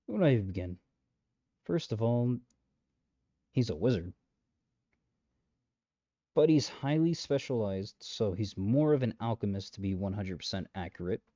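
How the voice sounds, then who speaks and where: Wry, mellow, low-voiced matchmaker guy played by a man. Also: expressive, unhurried, pauses often, dry recording, lo-fi sound. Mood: wry, amused. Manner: wry, conversational, rambling asides with dry understatement. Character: exasperated, chatty male matchmaker peddling possessive lovestruck suitors. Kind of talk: comedic roleplay audio drama monologue addressed to the listener.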